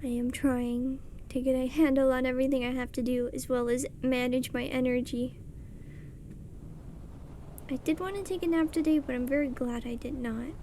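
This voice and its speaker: A young woman with a soft voice chatting casually and rambling while she draws on a livestream. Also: sits high, steady tone, normal speed, slight background noise. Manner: chatty, rambling, self-deprecating, mutters settings under her breath. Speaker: streamer. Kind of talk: casual chat while drawing on stream. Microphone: streaming mic.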